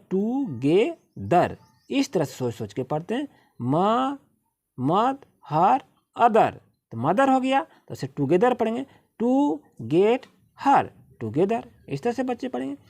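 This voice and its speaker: A woman with an Indian accent teaches a primary class in a slightly low, husky voice. Her pitch swings up and down dramatically as she instructs the children through textbook exercises. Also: darkish tone. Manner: instructive, reads English slowly word by word, often addresses children.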